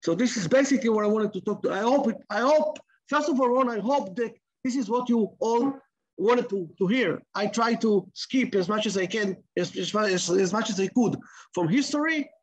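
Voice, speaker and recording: A middle-aged man with an Israeli accent giving an online lecture in halting English, full of repeats and restarts, over a video call. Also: high voice, animated, poor audio. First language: Hebrew. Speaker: guest lecturer.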